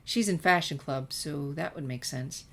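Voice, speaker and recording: Woman acting regretful teenage girl, low voice, hesitant, reflective, confessional, storybook dialogue. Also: moderate pace. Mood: reflective, rueful.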